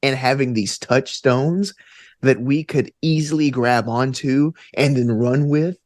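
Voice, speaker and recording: Guy from the american south, geeky podcast guest, chatty and enthusiastic, mid pitch, dry sound. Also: steady tone, measured pace, darkish tone, dry recording. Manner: enthusiastic, informal, peppered with "like" and "you know". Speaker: podcast guest, actor and gamer. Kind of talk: casual interview chat.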